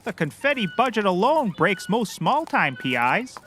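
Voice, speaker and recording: High-pitched, cocky clueless private eye. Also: male voice, big pitch swings, moderate pace, fairly bright tone, slight background noise. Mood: breezy lecturing. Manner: glib, rambling, self-assured. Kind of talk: scripted comedy acting.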